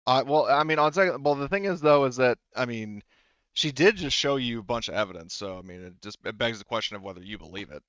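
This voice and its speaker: A man's voice: medium pitch, lively intonation, fast talker, a bit bright, smooth, dry recording, dull audio. A man running a tabletop game as game master, explaining things casually in a hesitant, rambling way.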